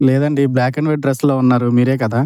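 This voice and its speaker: A man's voice: medium pitch, flat delivery, moderate pace, mellow, clear, resonant tone, no room sound. A man answering a phone call and chatting casually. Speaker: boyfriend receiving a prank call.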